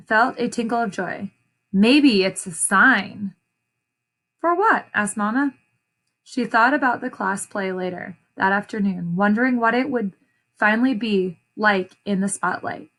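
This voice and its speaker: A woman reading a picture book aloud like a teacher, deliberate and expressive, pausing to explain and repeat phrases for young listeners. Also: medium pitch. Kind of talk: children's read-aloud with short explanations.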